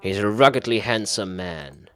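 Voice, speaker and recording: Low-voiced man narrating with mock-serious drama. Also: lively intonation, moderate pace, soft background music. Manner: theatrical, mock-serious delivery. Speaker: gaming video host. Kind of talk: dramatic read-aloud narration of a visual novel.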